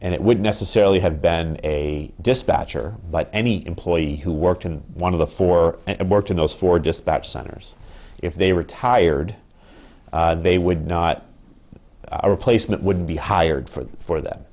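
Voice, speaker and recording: Man, 30s, union rep in an interview, deep voice, careful and halting delivery. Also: darkish tone. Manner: measured, thoughtful, with frequent ums and restarts. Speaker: union representative. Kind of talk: interview answers. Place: indoor office with wood paneling.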